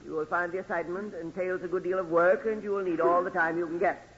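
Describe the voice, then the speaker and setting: A man's voice: high voice, fairly even intonation, fast talker, a bit bright. An actor playing a formal, exacting male law professor in 1940s radio drama style, lecturing in precise phrases. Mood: brisk, exacting. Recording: dull, reverberant old radio recording.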